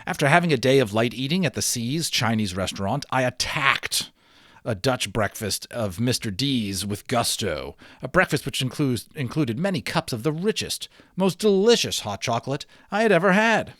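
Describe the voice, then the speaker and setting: A man's voice: mid-range voice, animated, moderate pace, a bit bright, dry recording, clean studio sound. A man giving a dramatic storybook read-aloud of a children's novel, with theatrical, emphatic phrasing.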